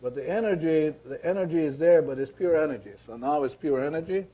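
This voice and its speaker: Guy teaching a spiritual seminar, soft mellow tone, repeats himself deliberately, kinda muffled recording. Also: a little high. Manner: deliberate, repetitive explaining with rhetorical questions. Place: seminar room with attendees. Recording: slightly dull lo-fi recording.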